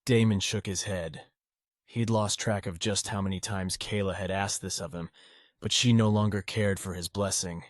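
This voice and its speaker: Casting: male fantasy audiobook narrator, fairly low voice, scripted storytelling, very dry sound. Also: fairly even intonation, normal speed, no echo.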